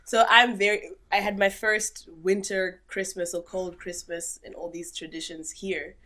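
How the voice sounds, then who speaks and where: A young woman from Zimbabwe, a guest chatting casually on a podcast, playful and animated as she tells stories. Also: medium pitch, measured pace. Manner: animated, playful storyteller with bursts of laughter. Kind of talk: casual chat.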